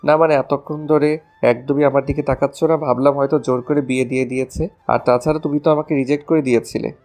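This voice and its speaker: A man's voice: mid-range voice, steady tone, fast, a little mellow, close to an everyday voice. A man playing a playful, affectionate young husband in love in a romantic audio story. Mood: earnest, affectionate. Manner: teasing, affectionate.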